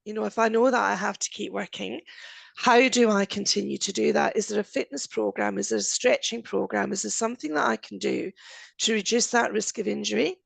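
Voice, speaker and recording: An Australian woman giving a presentation in a croaky voice, posing quick rhetorical questions. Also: mid-range voice, no echo. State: croaky, coughing.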